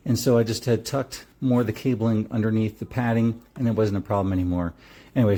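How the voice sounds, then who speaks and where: A man's voice: medium pitch, dark tone. A middle-aged man giving a casual product review in an easygoing, rambling way.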